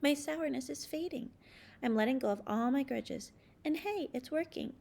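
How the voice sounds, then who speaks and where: A woman narrates a children's story aloud. Her voice sits a bit high and often crackles into creak. She reads expressively, leaning on key words with dramatic emphasis. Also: normal speed, a little mellow. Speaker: storybook reader.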